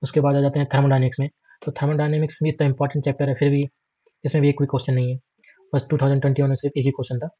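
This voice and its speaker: A man's voice: medium pitch, monotone, racing, no room sound, muffled recording. An Indian man giving an informal, matter-of-fact exam prep walkthrough, listing items repetitively and mixing in English terms. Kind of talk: informal screen walkthrough lesson.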